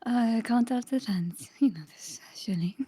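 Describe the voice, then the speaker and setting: A woman voicing a flirtatious, proud drow woman in a breathy, sultry murmur for a tabletop game. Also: a little high, normal speed, mellow, dry recording. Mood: coy, proud.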